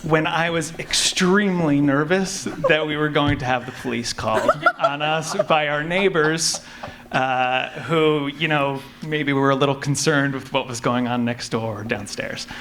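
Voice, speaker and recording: A man's voice: on the high side, unhurried. A man telling an anecdote as an audio drama maker on a live convention panel. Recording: faintly noisy convention room panel.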